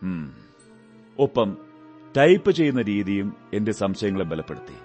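A shrewd, commanding detective for radio drama. The voice is male and on the low side, with measured, analytical phrasing and a scornful edge. Faint music sits in the recording. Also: very animated, a little mellow. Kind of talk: Malayalam radio drama acting.

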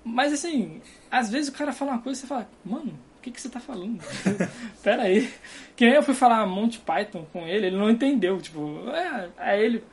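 Young carioca dude rambling about dorm life, chill and laughy, bit of faint background noise. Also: male voice, very high voice, expressive, a little fast. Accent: Carioca Brazilian Portuguese. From Brazil. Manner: rambling, laid-back, laughs often. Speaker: guest. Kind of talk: casual chat. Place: dorm room.

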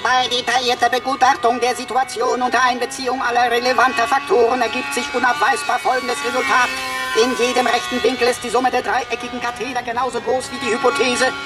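Cocky, high-pitched little robot played by a woman. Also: little pitch movement, fast talker, far from the mic, over loud music, natural voice. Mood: taunting. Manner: taunting, show-off, pompously declaiming. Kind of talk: German sci-fi radio drama acting.

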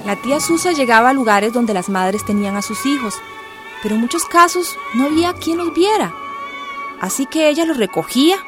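A woman's voice: sits high, animated, a little fast. A woman narrating a radio drama as a grown villager fondly remembering childhood, tender and reflective. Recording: music behind the voice.

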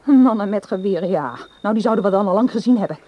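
An actress playing a determined, distrustful young heroine in a radio drama, challenging and insistent. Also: female voice, medium pitch, animated, fast talker, highs cut off, natural voice. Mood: defiant, accusing. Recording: faint background noise.

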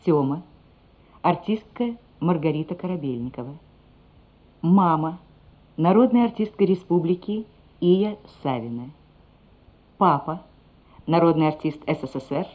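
A woman announcer reading radio play credits in a formal, measured manner. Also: low-pitched. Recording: thin, phone-like old radio recording.

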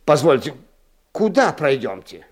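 Scatterbrained fussy teacher, husky, stammers and repeats himself, pitch all over the place, radio play. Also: male voice, very animated, unhurried. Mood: flustered. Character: flustered absent-minded male chemistry teacher, fussy and excitable.

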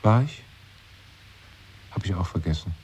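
A very deep-voiced, brooding man. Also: expressive, measured pace, darkish tone, breathy edge, exaggerated voice. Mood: wistful. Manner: musing, often drops to a whisper. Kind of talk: 1980s radio play acting.

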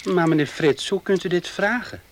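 A man's voice: high-pitched, normal speed, a little hiss or hum, natural voice. An actor in a Dutch radio play playing an earnest, deferential young male servant. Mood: earnest protest.

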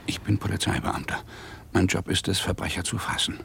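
A hard-boiled police detective in a radio crime drama. The voice sits very low with a gravelly fry, over faint background noise. He sounds worn down and angry, speaking in terse, brooding phrases. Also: flat delivery, brisk, a bit bright, exaggerated voice. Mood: anguished, angry. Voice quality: deep, gravelly. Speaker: actor, narrator and lead. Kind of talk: radio crime drama, intimate first-person monologue and dialogue.

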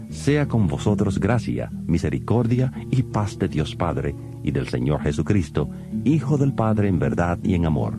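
A man with a strikingly low voice reads scripture in a solemn, measured way. His delivery swings widely in pitch and sinks to a hush at verse endings. Clear guitar music plays beneath him. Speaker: Bible reader. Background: plucked guitar music.

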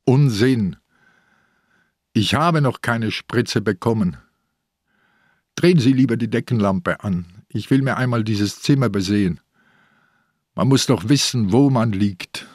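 Guy playing old detective stuck in bed, grumpy n dry, talks slow, radio drama, no echo at all. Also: mid-range voice, darkish tone, natural voice. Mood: irritable. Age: older. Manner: dry, sardonic, grumbling. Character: sardonic, irritable old police commissioner, ailing in bed. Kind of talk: German radio crime drama acting.

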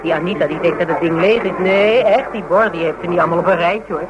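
An actress playing a streetwise, sharp-witted woman with colloquial, dry delivery in a naturalistic radio drama. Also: on the low side, fast talker, lo-fi sound, natural voice. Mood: offhand, animated.

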